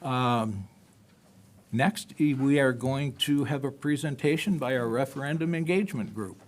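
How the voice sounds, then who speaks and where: A man's voice: medium pitch, normal speed. A man chairing a school board meeting, moving the agenda along in a polite, formal way with small hesitations. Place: public meeting room.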